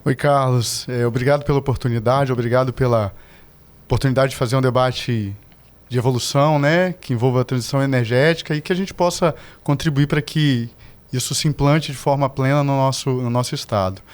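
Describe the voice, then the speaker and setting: A middle-aged man from Salvador, Brazil, a podcast guest giving measured, explanatory answers about environmental management. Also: mid-range voice. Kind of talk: formal interview answers.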